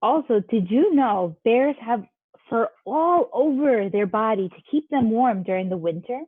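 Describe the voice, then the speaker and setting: A woman's voice: on the high side, lively intonation, unhurried, slightly rough, dry recording. A woman leading a children's activity, giving simple instructions and encouragement to young kids. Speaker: children's game leader. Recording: dull, lo-fi, dry audio.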